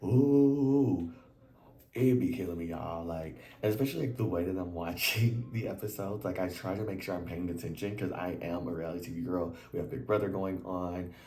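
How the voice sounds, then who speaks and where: A man hosting a reality TV recap in casual, slangy chat, his voice a little worn and hoarse, with sudden jumps into high exclamations. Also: normal speed, echoey room. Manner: animated and chatty, slangy, leaps into high exclamations.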